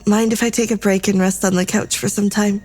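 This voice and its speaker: A woman's voice: medium pitch, flat delivery, brisk, a little mellow, faint music behind, close to an everyday voice. A woman playing an anxious, defiant crew member in an audio drama, speaking earnestly and with emotion. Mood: weary, defiant.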